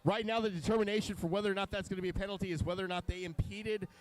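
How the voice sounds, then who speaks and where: High-voiced man explaining robot match action. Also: steady tone. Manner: explanatory, technical, with frequent filler pauses. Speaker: color commentator at a robotics competition. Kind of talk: live event commentary. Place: competition venue.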